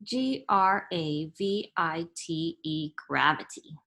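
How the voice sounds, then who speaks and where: A woman reading a children's science picture book aloud like a teacher, in a deliberate, instructive way that makes each term clear. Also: sits low, a bit bright. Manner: deliberate, instructive, spelling out and explaining terms.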